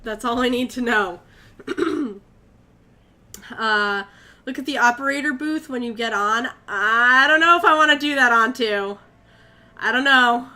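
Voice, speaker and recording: A woman's voice: fairly high pitch, measured pace, long pauses, sharp tone. A young woman from Connecticut streaming a horror game, chatting with viewers, easily spooked and swearing. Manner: jumpy, easily spooked, swears and chats with chat. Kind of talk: live gameplay commentary.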